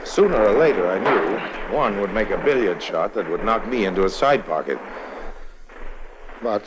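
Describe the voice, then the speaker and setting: An actor playing a smooth-talking, roguish adventurer in a 1950s radio drama, glib and wry, both in dialogue and telling his own story. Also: fairly low pitch, lively intonation, moderate pace, background noise, lightly acted. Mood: tense narration. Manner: wry, glib, stammers when cornered. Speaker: lead actor and narrator. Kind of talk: 1950s radio drama acting and first-person narration. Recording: old 1950s radio recording.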